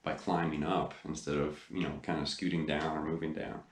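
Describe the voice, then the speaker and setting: A man's voice: a bass voice, little pitch movement, brisk. A middle-aged man giving a casual guitar lesson in a laid-back, conversational way. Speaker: guitar teacher. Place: small home studio room. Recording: roomy sound with loud guitar. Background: electric guitar playing.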